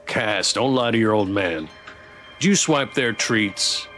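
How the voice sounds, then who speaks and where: A man voicing a big, warm, good-natured farmer dad from a visual novel, teasing and mock-dramatic, with music behind. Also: fairly low pitch, expressive, takes it slowly. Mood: playful suspicion.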